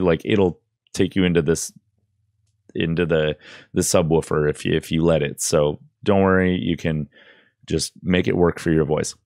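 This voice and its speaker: A man with a very deep voice talks through a tutorial in an informal way. He rambles and halts as he explains things. The sound is close and dry, from a studio mic at a desk. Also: vocal fry, a little mellow, no room sound. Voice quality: relatively low voice. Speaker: tutorial presenter. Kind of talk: casual screen-recorded tutorial. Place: indoor desk setup. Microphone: close boom-arm studio mic, headphones.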